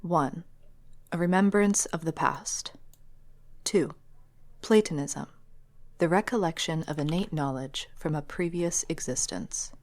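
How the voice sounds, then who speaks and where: Woman reading scripted game narration, a bit on the low side. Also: a little slow, darkish tone.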